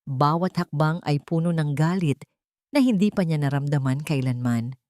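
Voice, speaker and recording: Low-voiced woman narrating a dramatic story. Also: moderate pace, darkish tone, no echo.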